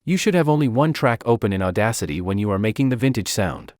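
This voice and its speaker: Man narrating a tutorial, lively pitch. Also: fast, no echo, clean studio sound. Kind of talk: scripted software tutorial narration.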